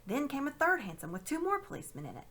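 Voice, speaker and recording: A woman reading a children's story aloud with dramatic, expressive narration. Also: high-pitched, quick pace. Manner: dramatic, animated. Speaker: storybook reader.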